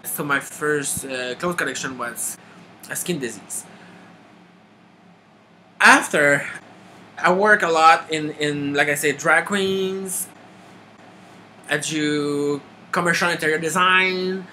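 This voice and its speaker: Quebec man, thirties, bright crisp voice, stop-start delivery, faint hiss behind. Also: on the high side. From Quebec, Canada. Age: 30s. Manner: halting, many restarts and filler. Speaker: makeup artist auditioning. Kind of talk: casual self-introduction to camera.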